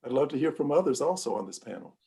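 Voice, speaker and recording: A man moderating a panel discussion, asking polite, measured questions with filler uhs. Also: on the high side, lively intonation, creaky, warm tone, husky.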